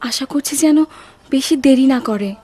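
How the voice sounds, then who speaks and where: High-pitched clever servant girl. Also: measured pace, dark tone, faint music behind. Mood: urgent, decisive. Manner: decisive, urgent. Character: clever, watchful young female servant of the household. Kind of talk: dubbed cartoon acting.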